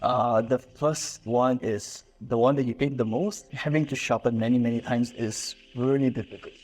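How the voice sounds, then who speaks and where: Singaporean man, 20s, guest, soft-spoken, halting, many fillers. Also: mid-range voice, steady tone, rough recording. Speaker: guest, violin student.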